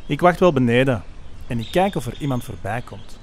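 A man's voice: medium pitch, animated, fairly quick, slight background noise, lightly acted. A man playing an agitated walking stick insect from the forest in an amateur radio play. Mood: insistent.

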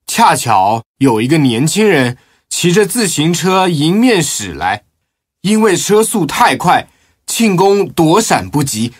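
A man plays a village storyteller in an audio drama. His voice is husky and fairly high, swinging with lively indignation. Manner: animated storytelling.

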